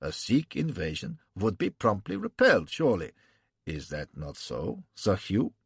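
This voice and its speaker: A man's voice: deep voice, huge pitch swings, unhurried, gravelly, husky, dry recording, exaggerated voice. A man voicing an affected young German prince who lisps, reading a story aloud. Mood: polite. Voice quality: pimpish, affected lisp.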